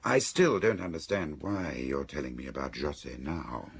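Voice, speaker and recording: Sober, probing male confidant for audio drama: extremely deep, creaky, direct delivery. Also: very animated, normal speed, full character voice. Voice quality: gravelly. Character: sober, probing male listener discussing a murder case. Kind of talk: radio drama acting.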